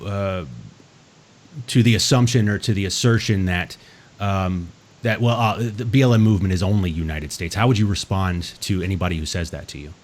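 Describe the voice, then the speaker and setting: Guy interviewing someone, lowish voice, slow careful questions, lots of uhs. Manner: measured, hesitant phrasing with many fillers.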